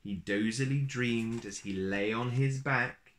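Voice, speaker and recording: A man in his thirties reading a picture book aloud to young children in an expressive, deliberate storytelling manner. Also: medium pitch. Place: small plain room indoors.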